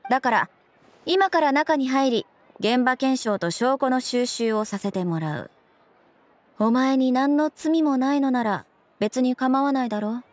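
An actress playing a stern, principled young police officer in a video game, with formal, clipped, businesslike speech. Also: female voice, high-pitched, expressive, measured pace. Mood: stern, official. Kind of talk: Japanese game voice acting.

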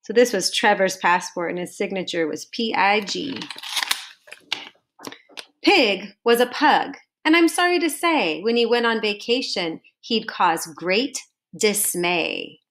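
A woman reading a children's storybook aloud to students like a teacher, in a deliberate, sing-song manner with drawn-out phrase endings. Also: medium pitch, fairly bright tone. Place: home kitchen, indoors.

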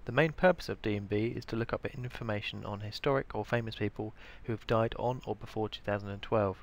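Low, slightly creaky British man narrating. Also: fast. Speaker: information librarian, tutorial presenter. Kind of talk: scripted instructional narration.

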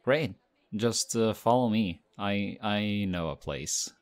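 A man voicing a friendly, modest young male team captain in an audio roleplay, talking in a relaxed, chatty way. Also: lowish voice, slow. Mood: excited, friendly.

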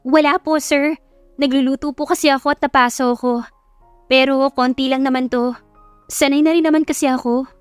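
A woman's voice: sits high, steady tone, normal speed, long pauses, dry recording, faint music behind, voice pushed up, close to an everyday voice. A woman voicing an earnest, respectful young college student, a dutiful daughter, in an audio love story. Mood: hesitant, protesting.

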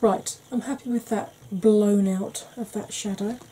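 A woman in her forties with a Welsh-tinged northern English accent, talking slowly and softly with wry humour while doing her makeup. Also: medium pitch, steady tone, husky. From Wales and Yorkshire. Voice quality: soft-spoken, slow, wry. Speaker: makeup vlogger. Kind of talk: soft-spoken makeup tutorial chat.

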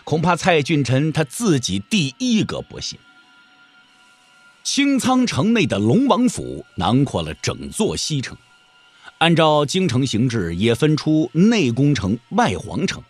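Husky, highly expressive male storyteller. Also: measured pace, soft background music. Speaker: narrator. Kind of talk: dramatic scripted storytelling for martial-arts audio drama.

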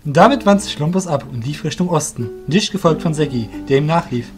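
A man's voice: a little high, animated, fairly quick, faint music behind. A man narrating a scripted story as the narrator of an audio drama.

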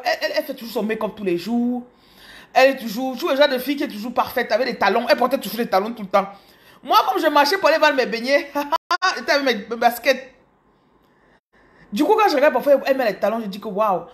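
Cameroonian woman in her thirties, higher voice, animated phone vlog to followers. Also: quick pace. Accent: Cameroonian French. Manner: animated, repeats words, addresses viewers directly. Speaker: business coach. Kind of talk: casual motivational storytelling. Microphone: phone camera held close.

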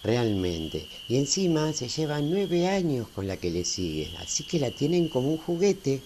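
A man's voice: medium pitch, sing-song, moderate pace, mellow. A middle-aged man with a Rioplatense Argentine accent playing a talkative, tender-hearted small-town family man in a radio play. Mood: tender, chatty. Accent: Rioplatense Argentine Spanish. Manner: talkative, folksy, seeking agreement. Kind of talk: amateur radio play acting. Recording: faint hiss with night crickets. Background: crickets, night ambience.

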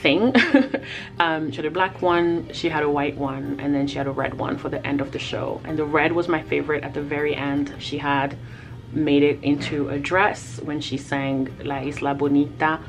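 A woman's voice: deep voice, level tone, moderate pace. A woman whose first language is Lingala, from the Congo, chatting casually and reminiscing as she tells a story. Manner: chatty and reminiscing, with frequent laughs and fillers. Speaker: artist vlogger. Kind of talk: casual storytelling over a timelapse. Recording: music playing under the voice.

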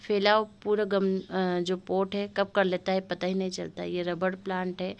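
A woman's voice: medium pitch, little pitch movement, normal speed, vocal fry, clear, resonant tone. A woman giving a casual, chatty tour of her terrace garden, talking unhurriedly to her viewers with many fillers, filmed on a handheld phone outdoors. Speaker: gardening vlogger. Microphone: phone camera held while filming.

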